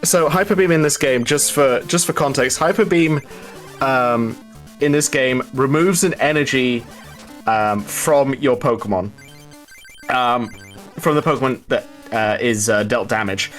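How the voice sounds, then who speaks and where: Man giving halting gaming commentary over music. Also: mid-range voice, fairly bright tone. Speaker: speedrun race commentator. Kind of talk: live gaming event commentary.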